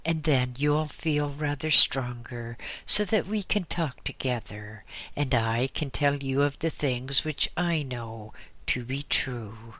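A narrator voicing a calm, compassionate old lama who speaks in a gentle, measured way. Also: medium pitch, no room sound.

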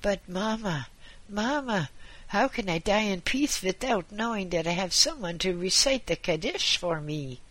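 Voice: medium pitch, lively intonation, measured pace, a bit bright, voice pushed up, rough recording. A narrator voicing an ailing, wry old husband who pleads in broken English full of "oi". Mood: pleading. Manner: foreign-tinged broken English syntax, exclaims "oi".

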